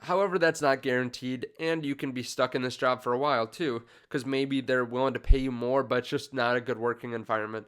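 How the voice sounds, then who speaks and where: A man's voice: mid-range voice, fairly even intonation, a little fast, few pauses, a bit bright, clear, resonant tone. A congested young man in his twenties hosting a finance channel, talking casually to camera with many fillers and restarts. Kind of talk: casual direct-to-camera talk.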